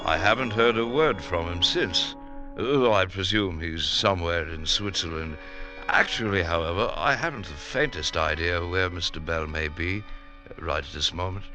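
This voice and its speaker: An actor playing a deferential middle-aged chief clerk, formal, precise and stuffy, in old-time radio drama style. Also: male voice, low-pitched, normal speed, over music, close to an everyday voice. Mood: dutiful.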